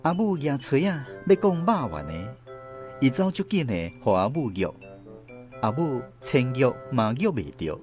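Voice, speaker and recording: A man's voice: mid-range voice, sing-song, unhurried. A man with a Taiwanese accent narrating a scripted first-person story for a radio drama. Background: faint guitar music.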